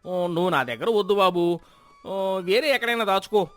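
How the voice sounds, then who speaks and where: A man voicing a scheming, falsely pious village moneylender in a loud, theatrical way for a moral story. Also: high voice, moderate pace, no echo. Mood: feigned humility. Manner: loud, theatrical scolding and protesting. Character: sanctimonious, scheming male village moneylender feigning piety.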